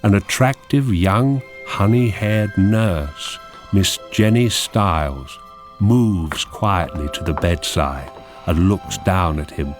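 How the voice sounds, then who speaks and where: Deep, slow male drama narrator. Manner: measured, descriptive. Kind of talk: scripted screenplay narration in present tense.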